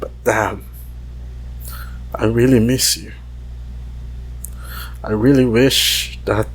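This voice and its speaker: A man leaving an intimate, confessional message, halting and wistful, with long pauses. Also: medium pitch, fairly even intonation, slightly rough. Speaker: voicemail caller.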